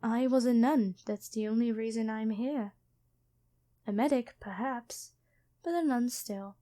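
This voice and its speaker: A woman playing a kind angel medic and former nun in an ASMR roleplay, speaking gently and hesitantly to the listener. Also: a little high, measured pace, lightly acted. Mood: reflective. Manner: gentle, soft-spoken, apologetic, hesitant.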